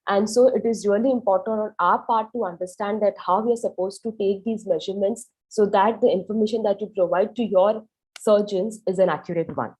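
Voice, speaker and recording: A woman's voice: medium pitch, steady tone, fairly quick, a little mellow, slightly rough, poor audio. A young woman with an Indian English accent presenting a radiology talk over a video call, her voice harsh from a sore throat, explaining with "um" fillers. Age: young adult. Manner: explanatory, with "um" and "you know" fillers. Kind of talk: medical presentation.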